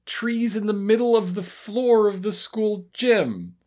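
A woman's voice: mid-range voice, takes it slowly, a little mellow. A woman co-hosting a podcast, chatting casually through a comedic episode recap with a wry, sweary, riffing manner. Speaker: podcast co-host.

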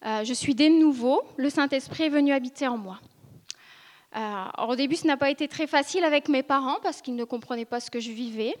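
A woman in her thirties, from northern France, speaks with a high voice. She shares her testimony with a church audience, earnest and hesitant, pausing and restarting often. Her voice carries a long reverb from the hall. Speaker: church member giving testimony. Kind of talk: personal testimony to a congregation. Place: church ministry school meeting room. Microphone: seated speaker, filmed for video.

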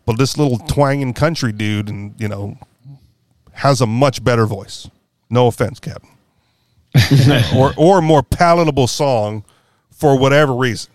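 A man's voice: medium pitch, unhurried, long pauses, darkish tone, no room sound, studio quality. A man hosting a podcast in casual political chat, rambling and correcting himself with a wry tone. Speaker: podcast host.

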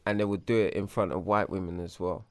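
Low-voiced man explaining earnestly. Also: fairly even intonation, fast talker, no room sound, clean studio sound. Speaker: podcast co-host. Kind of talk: casual banter among friends.

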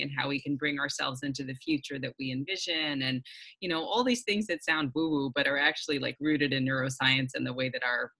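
Low-voiced woman, bright and gravelly. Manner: thoughtful, searching, with many fillers. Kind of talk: reflective conversation.

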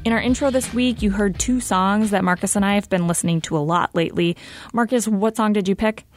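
A woman's voice: mid-range voice, brisk, soft background music. A woman co-hosting a radio show, chatty and friendly as she asks questions in a studio. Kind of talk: casual studio interview chat.

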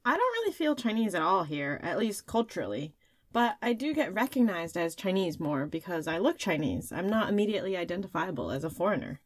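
Lively woman co-hosting a podcast. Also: lowish voice, a little fast, dry recording. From United States. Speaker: podcast host. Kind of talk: scripted podcast narration.

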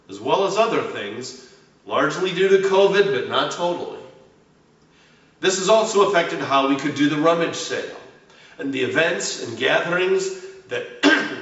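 Echoey, distant male preacher, slow and deliberate. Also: fairly high pitch, fairly bright tone. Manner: measured, deliberate phrasing with emphatic stresses and falling line endings. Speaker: pastor preaching a homily. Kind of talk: prepared sermon. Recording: very echoey room, distant mic, slightly dull sound.

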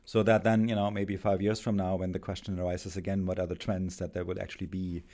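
A middle-aged man with a German accent, a philosophy professor speaking as a guest, halting and thoughtful with many pauses and restarts. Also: low voice, level tone, mellow. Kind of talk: thoughtful academic conversation.

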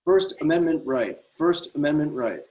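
A man's voice: on the high side, animated, moderate pace, a little mellow, natural voice, low-quality recording. A man playing a rowdy, defiant large man who taunts the police in a screenplay reading. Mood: defiant, angry. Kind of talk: screenplay table read.

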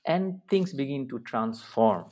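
Indian academic man, halting, fillers and restarts, mid-pitched voice. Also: lively intonation, darkish tone. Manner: hesitant, many fillers and restarts. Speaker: guest academic. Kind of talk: interview answer.

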